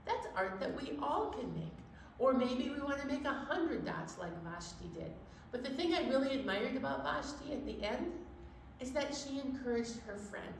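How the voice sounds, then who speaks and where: A woman leading a children's story time, speaking to young children in an animated, encouraging way and asking them questions. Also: high-pitched, normal speed, creaky. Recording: roomy echo, distant mic, faint noise.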